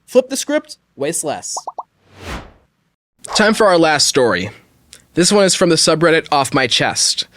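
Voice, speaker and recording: A man's voice: a little high, big pitch swings, measured pace, long pauses, fairly bright tone, no echo. A man hosting a podcast, reading an online story aloud in a casual way with wry, jokey asides. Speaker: podcast host.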